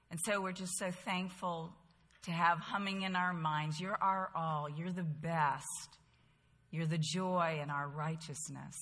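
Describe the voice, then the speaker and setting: Bright-voiced woman with a Southern lilt. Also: deep voice. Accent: light Southern US. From Bahamas, later North Carolina. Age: 50s. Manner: chatty and conversational, with humorous asides. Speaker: women's Bible study teacher. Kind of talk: casual talk to a group, then spoken prayer and storytelling. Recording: echoey hall.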